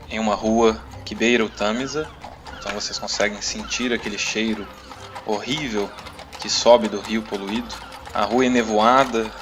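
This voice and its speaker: A man with a Brazilian accent, narrating a tabletop roleplaying adventure as game master. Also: on the low side. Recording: music playing behind the voice. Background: music.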